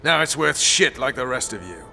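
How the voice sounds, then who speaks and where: A man's voice: mid-range voice, big pitch swings, moderate pace, vocal fry, bright, forceful tone, soft background music, full character voice. A male actor playing a hard, vengeful special forces commander in a video game, speaking in a stern, blunt, resolute manner. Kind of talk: dramatic video game acting.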